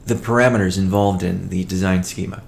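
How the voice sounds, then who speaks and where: A man presenting a company update as a chief executive, in a measured, matter-of-fact way with halting phrasing. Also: deep voice, fairly even intonation, creaky. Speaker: company CEO hosting a weekly call. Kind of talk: business update presentation, partly read from notes.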